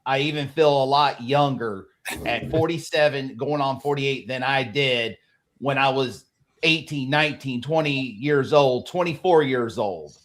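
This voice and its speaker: A man's voice: medium pitch, measured pace, a bit bright. Man in his forties telling his story. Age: 40s. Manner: plain, personal storytelling. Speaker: panel guest. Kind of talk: casual chat.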